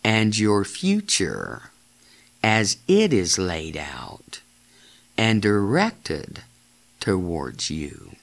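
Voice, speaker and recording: Very slow, low-pitched, creaky man. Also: huge pitch swings. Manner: deliberate, halting phrasing with repeated instructions. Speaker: guided imagery narrator. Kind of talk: slow guided meditation.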